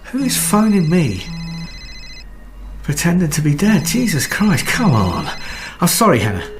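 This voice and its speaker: An actor playing a harried, anxious husband in a naturalistic radio drama, halting and apologetic. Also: male voice, a little high, wildly swooping pitch, music in the background, exaggerated voice. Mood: angry, panicked. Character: harried recently married husband, anxious and unravelling.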